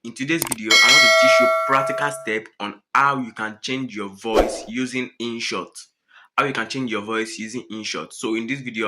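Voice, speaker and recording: A man's voice: fairly low pitch, bright, forceful tone. A man narrating a step-by-step app tutorial, often repeating his phrases and instructions. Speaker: tutorial host.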